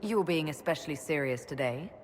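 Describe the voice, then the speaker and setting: An actress playing a calm elf mage leader in a video game, direct and composed. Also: female voice, sits low, fairly quick. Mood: calm, supportive. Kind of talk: dramatic video game dialogue. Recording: slight background noise and ambience.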